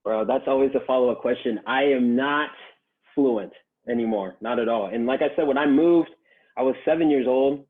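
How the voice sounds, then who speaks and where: A man's voice: mid-range voice, normal speed. A young man born in Japan, a podcast guest, chatting in a laid-back way with many fillers and laughs. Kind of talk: casual podcast conversation. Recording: tinny phone-like line.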